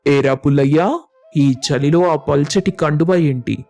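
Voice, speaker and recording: A man's voice: fairly high pitch, unhurried, warm tone. A man playing a frank villager in a moral story, chiding his friend. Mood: teasing, chiding. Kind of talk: animated storybook dialogue.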